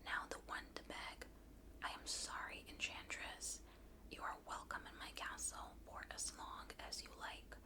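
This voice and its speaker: A woman's voice: unhurried, lightly acted. A woman voicing a vain, cold young prince from a storybook in a haughty whisper. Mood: pleading.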